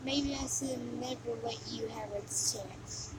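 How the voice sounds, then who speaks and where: A woman voicing a mocking, sneering demon who taunts the player in amateur video game voice lines. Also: a little high. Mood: bored, exasperated contempt. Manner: taunting, scornful, drawn-out sighing delivery. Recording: noisy amateur home recording.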